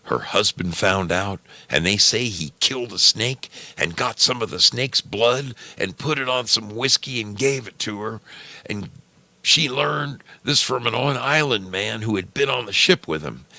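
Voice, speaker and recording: A man's voice: mid-range voice, animated, unhurried, vocal fry, pushed and bright, dry recording, voice pushed up, exaggerated voice. A man voicing a plainspoken rustic witness who rambles through a gruesome folk legend as an oral history. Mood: eerie, earnest.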